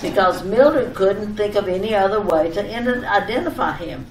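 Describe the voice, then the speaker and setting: A woman's voice: mid-range voice, moderate pace, darkish tone, lightly acted. Woman acting a chattering, insistent wife, echoey distant sound. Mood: insistent, over-explaining. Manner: chattering, coaxing, over-explaining. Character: breezily insistent wife, rambling and mildly exasperated. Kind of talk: old-time radio domestic comedy acting. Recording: echoey, distant, slightly noisy old radio recording.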